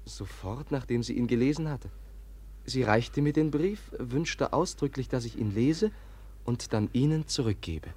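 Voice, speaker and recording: A man playing a gentle, naive young Russian prince in a 1950s German radio drama, speaking politely and earnestly. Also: medium pitch, animated, slightly rough. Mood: earnest, calm. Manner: polite, earnest, deliberate, formal.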